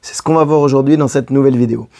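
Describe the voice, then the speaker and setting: A man with a hoarse, dark and mellow voice talks straight to his viewer like a coach giving relationship advice. He speaks casually and circles back to repeat his main points. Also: medium pitch, fairly even intonation, very fast. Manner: addresses the listener directly, repeating key phrases. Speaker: love coach. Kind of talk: casual advice monologue to camera.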